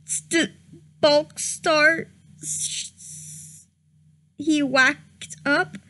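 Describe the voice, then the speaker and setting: A woman's voice: high voice, fairly even intonation, extremely slow, pushed and bright. A young woman giving a comedic dramatic reading of badly written fan fiction, stumbling over misspellings and breaking into laughter. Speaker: reader.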